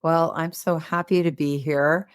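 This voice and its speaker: A woman with a slightly raspy voice from a cold, chatting as a podcast guest in a friendly way. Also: sits low, fairly even intonation, measured pace, no echo, clean studio sound. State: getting over a cold. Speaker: podcast guest, clinical hypnotist. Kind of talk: casual interview chat.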